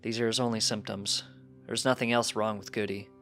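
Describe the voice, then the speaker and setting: A grave doctor pony, read by a man, speaking frankly in a measured, fairly low voice. The storybook figure is solemn and plain-spoken, with music underneath. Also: level tone, fairly bright tone.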